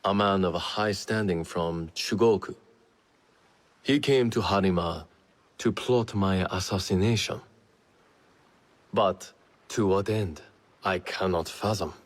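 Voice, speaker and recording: An actor playing a resolute, measured samurai lord in a video game, speaking formally and gravely. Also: male voice, sits low, no room sound. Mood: grave, accusing. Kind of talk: dramatic video game acting.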